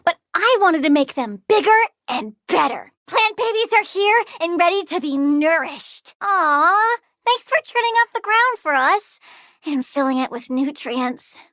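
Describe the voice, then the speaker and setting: A woman's voice: very high voice, animated, a little slow, a bit bright, no echo, studio quality, heavily acted. A woman voicing a volatile young plant-powered girl villain in a video game, girlish, bratty and blunt, with gleeful menace. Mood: matter-of-fact, eager. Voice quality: girlish, childlike. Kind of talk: video game character voice lines.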